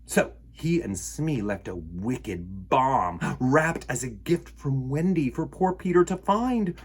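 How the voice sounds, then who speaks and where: A man hosting a children's storytime, reading and talking in a theatrical, expressive way with dramatic pauses. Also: mid-range voice, breathy edge. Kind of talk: animated storybook narration for children.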